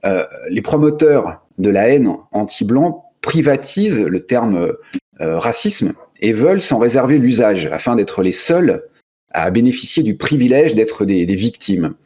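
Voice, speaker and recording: A man's voice: fairly low pitch, expressive, unhurried, dark tone. A male host opening a radio discussion show, speaking with frequent hesitations and restarts. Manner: hesitant, many fillers and restarts.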